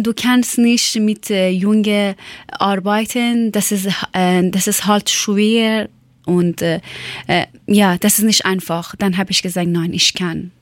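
Woman w persian accent telling her own story, non-native speaker, slow n halting, hunts for words, dry close sound. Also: mid-range voice, no room sound. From Iran. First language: not German. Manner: halting, searching for words, repeats herself. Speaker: former teacher telling her life story. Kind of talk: personal spoken account.

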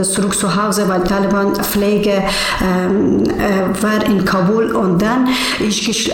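A woman from Afghanistan speaking halting learner's German with many pauses and fillers, interviewed as a human rights activist. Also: mid-range voice. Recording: echoey room, distant mic.

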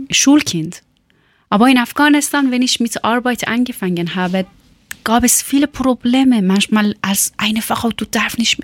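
A woman from Iran, speaking German as a learned language, tells her story haltingly and searches for words with many fillers. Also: mid-range voice, dry recording, clean studio sound. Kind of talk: personal account.

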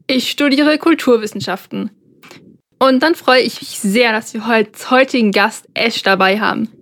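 A young woman co-hosts a podcast conversation. Her voice sits a little above average in pitch. She speaks earnestly and carefully. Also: moderate pace, fairly bright tone. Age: young adult. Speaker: podcast co-host.

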